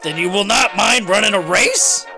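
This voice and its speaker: A man's voice: high voice, moderate pace, over music, lightly acted. A man playing an earnest, formal United Nations official in a comedic comic-book reading, speaking in a stilted, declamatory way.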